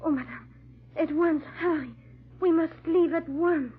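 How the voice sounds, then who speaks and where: An actress playing a loyal, robust maidservant, excitable yet brave, in melodramatic 1940s radio drama style. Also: female voice, extremely high pitch, measured pace, background noise. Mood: alarmed. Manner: melodramatic, alarmed, deferential. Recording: muffled vintage radio recording.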